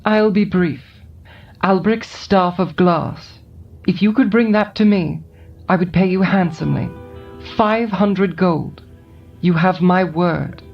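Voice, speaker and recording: A woman voicing a composed, scheming adult woman in an audio drama, calm and coolly persuasive. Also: lowish voice, slow pace. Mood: measured. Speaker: voice actor. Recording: dull lo-fi recording with faint music behind.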